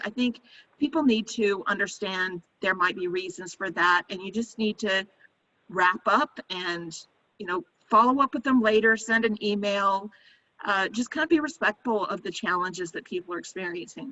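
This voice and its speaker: A woman panelist on a video call, explaining her points in a measured, steady way. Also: medium pitch. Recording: dull lo-fi video call audio.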